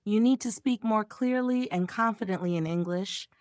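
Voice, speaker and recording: A woman voicing a calm, friendly but serious male manager giving direct advice. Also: medium pitch, moderate pace, clear, resonant tone, no room sound, natural voice.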